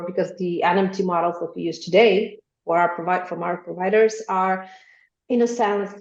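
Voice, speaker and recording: A woman's voice: lowish voice, darkish tone. A woman with a Macedonian accent, a podcast guest answering at length with many ums and uhs. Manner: thoughtful, many ums and uhs, light laughs. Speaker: guest, machine translation specialist. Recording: roomy, dull lo-fi remote sound.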